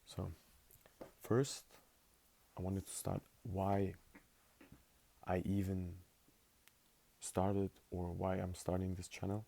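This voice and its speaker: Deep gravelly teenage man, laid-back. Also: level tone, slow pace, long pauses, warm tone. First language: not English. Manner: rambling, filler-heavy, laid-back. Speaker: vlogger. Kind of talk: casual spoken journaling monologue.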